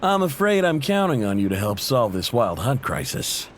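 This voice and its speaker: A man's voice: medium pitch, big pitch swings, normal speed, a little hiss or hum. An actor playing a friendly, modest workman and family man in a video game, chatty, affable and self-deprecating. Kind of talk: video game character acting. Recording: music behind the voice.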